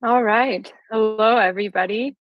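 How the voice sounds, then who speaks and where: A woman's voice: mid-range voice, fairly even intonation, moderate pace. A woman in her twenties with an American accent, giving a conversational presentation to an audience as a guest speaker. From California, United States. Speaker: guest speaker, software engineer. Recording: slightly roomy, dull lo-fi sound.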